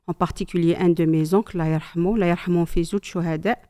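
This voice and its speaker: A middle-aged woman from Algeria, a mother telling her family's story in a calm, thoughtful casual chat with pious asides. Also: lowish voice, brisk. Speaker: mother sharing memories.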